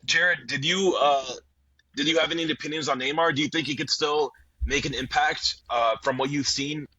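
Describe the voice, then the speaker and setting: A man's voice: a little high, steady tone, moderate pace, pushed and bright, slightly rough. A man from Brazil hosting a football podcast, talking with many hesitations and fillers while steering the talk with questions to his guests. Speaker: podcast host. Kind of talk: casual football discussion. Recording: dull lo-fi mic.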